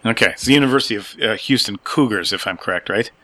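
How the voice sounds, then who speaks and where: A man's voice: on the low side, fast, sharp tone, no echo. A man with a Wisconsin accent hosting an interview podcast, asking chatty questions with small asides. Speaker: podcast host.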